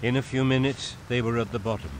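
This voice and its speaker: A man narrating a radio drama in an unhurried, expressive storyteller's reading. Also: mid-range voice, a little hiss or hum. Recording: studio narration with music beneath.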